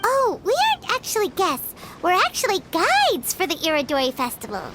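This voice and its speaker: Casting: childlike game fairy guide, very high and animated, exclaims a lot, music behind. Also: female voice, brisk, heavy background noise, heavily acted. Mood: excited. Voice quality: squeaky, childlike. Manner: excitable, exclaiming, refers to self in third person. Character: tiny excitable childlike female fairy companion, chatty guide. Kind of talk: video game voice acting.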